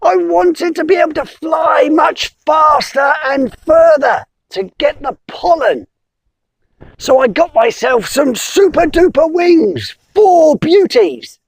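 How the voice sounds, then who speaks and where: Guy doing a high squeaky panicky bumblebee, yelling, storybook voice. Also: slow pace, dry recording, heavily acted. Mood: distressed. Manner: shouting, excitable. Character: frantic bumblebee with oversized wings.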